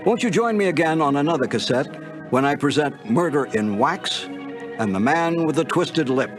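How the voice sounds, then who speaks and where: A man giving a measured, formal closing narration for a cassette reissue of a radio series. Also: fairly high pitch, animated. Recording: narration over clear music bed.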